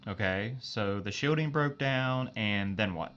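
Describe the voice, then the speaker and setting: A man's voice: on the low side, unhurried, fairly bright tone. A man playing a wisecracking, casual spaceship crewman in a science fiction audio drama. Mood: sceptical. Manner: casual, sardonic. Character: wisecracking, laid-back male spaceship crewman, loyal to captain. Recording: dull band-limited audio with faint music.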